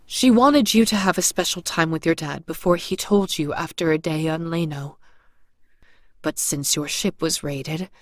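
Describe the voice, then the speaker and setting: A woman's voice: low-pitched, normal speed, dry recording, studio quality, natural voice. A woman playing an awkward, apologetic messenger in an audio drama, explaining things politely. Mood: explanatory. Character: awkward, apologetic woman bearing painful family news.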